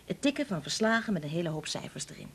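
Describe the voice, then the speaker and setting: An actress playing a brisk, capable statistician and mother in a Dutch radio play, firm and gently teasing. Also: lowish voice, sing-song, creaky, a little hiss or hum. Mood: explaining.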